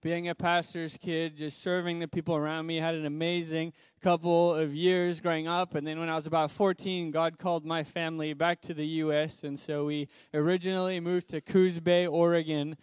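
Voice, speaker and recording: A young man from Zimbabwe, a missionary guest speaker, giving an upbeat personal testimony in a church in a brisk, preacherly way. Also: fairly high pitch, little pitch movement, smooth, no room sound.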